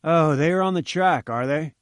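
A man's voice: on the high side, normal speed, no echo, natural voice. Man voicing breezy young journalist, dry close sound. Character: brisk young male journalist.

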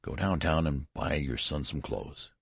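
A man's voice: rumbling low, measured pace, gravelly, slightly rough, dry recording, clean studio sound, exaggerated voice. A man voicing a severe, prim female nurse who gives bossy orders in a storybook. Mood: commanding, prim. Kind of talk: audiobook character voice.

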